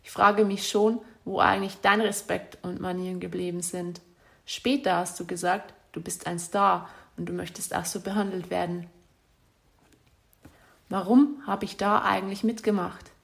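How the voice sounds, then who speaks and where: A woman's voice: medium pitch, gravelly. A woman reading out a letter she wrote to her former partner, in a bitter, accusatory and insulting way. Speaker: spurned ex-partner.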